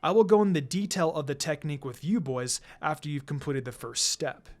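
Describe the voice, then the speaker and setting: A narrator voicing a calm adult male ninja teacher with a measured, instructive and dryly teasing manner in a fan fiction reading. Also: mid-range voice, voice pushed down, lightly acted. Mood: calm, firm.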